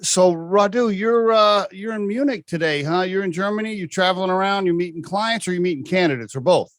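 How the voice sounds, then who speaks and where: A man's voice: high-pitched, a little fast, dry recording, studio quality. A man hosting a podcast, asking casual, chatty interview questions. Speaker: podcast host.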